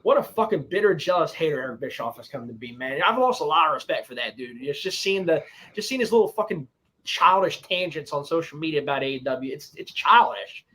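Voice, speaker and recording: A man's voice: on the high side, animated, fairly quick, pushed and bright, slightly rough, poor audio. A man ranting scornfully in an online video clip, bitter and dismissive. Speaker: wrestling commentator in a played clip.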